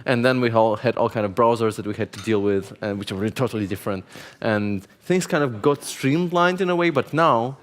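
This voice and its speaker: A man's voice: mid-range voice, fairly quick, hardly any pauses. A middle-aged man moderating a conference panel, chatty and self-deprecating, asking long strings of questions. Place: conference stage.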